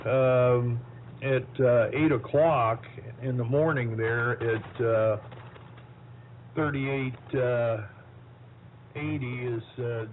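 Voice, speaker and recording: A middle-aged or older man talks slowly and haltingly in a casual ham radio chat. His folksy, rambling delivery comes through a narrow, phone-like shortwave transmission with faint static behind it. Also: medium pitch. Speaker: amateur radio operator.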